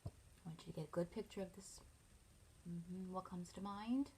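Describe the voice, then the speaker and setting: A middle-aged woman, a teacher reading a picture book aloud to children, expressively and with pauses to ask them leading questions. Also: lowish voice, mellow, a little hiss or hum. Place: small furnished room at home, indoors.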